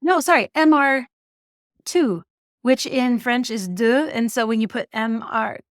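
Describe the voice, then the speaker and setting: A middle-aged woman from Canada, a marketing executive telling stories in a podcast interview, animated and laughing often. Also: a little high, measured pace, dry recording, clean studio sound.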